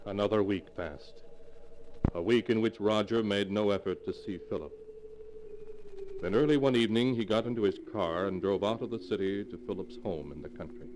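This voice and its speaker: A man narrates an old-time radio drama in a measured, storytelling way. His voice sits low. A clear hiss runs under the old broadcast.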